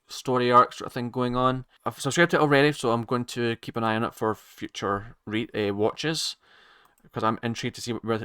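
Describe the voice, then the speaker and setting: A man hosts a casual reaction video in a bright, forceful voice. He talks haltingly, rambling and doubling back on his own phrases. The sound is dry, with hardly any room in it. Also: mid-range voice, clean tone, dry recording. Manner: halting, rambling, circles back to repeat himself. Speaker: reaction channel host.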